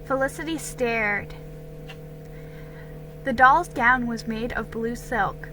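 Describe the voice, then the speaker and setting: A woman with a high, very bright voice narrates a children's historical story. She reads dramatically and expressively, taking her time over the sentences. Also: takes it slowly, faint music behind, rough recording. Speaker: storybook reader.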